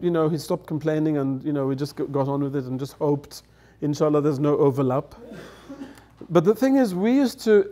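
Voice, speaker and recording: Middle-aged man, storyteller, mellow voice, fairly high pitch, chatty and laughing. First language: English. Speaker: guest elder. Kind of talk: storytelling anecdotes. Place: studio set with dark curtains.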